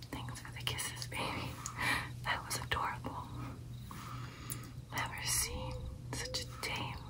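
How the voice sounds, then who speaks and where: A young woman voicing a devoted, earnest female vampire girlfriend in an intimate, breathy ASMR roleplay whisper. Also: distant mic, close to an everyday voice. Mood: fond, relieved. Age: young adult. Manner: slow, deliberate, intimate, coaxing. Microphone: close ASMR microphone.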